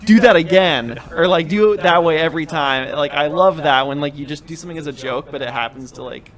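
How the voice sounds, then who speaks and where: A man's voice: fairly high pitch, fairly quick, fairly bright tone. A man in his twenties, a band member on a podcast, thoughtfully explaining how he writes songs step by step. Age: 20s. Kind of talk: casual chat.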